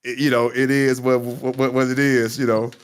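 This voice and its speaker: A man's voice: mid-range voice, level tone, moderate pace, clean tone. A man hosting a casual live podcast chat, talking with a stutter and repeating his words. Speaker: podcast host.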